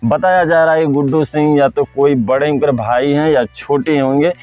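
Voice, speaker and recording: A man's voice: fairly high pitch, fairly even intonation, moderate pace, darkish tone, no room sound, muffled recording. Bhojpuri-accented man over background music. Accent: Bhojpuri-influenced Hindi. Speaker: host of a film gossip video channel. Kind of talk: informal gossip commentary to viewers.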